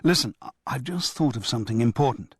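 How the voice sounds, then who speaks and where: A man voicing a middle-aged actor who apologizes and stammers on the phone, with lively pitch. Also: quick pace, husky, no echo, clean studio sound. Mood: apologetic.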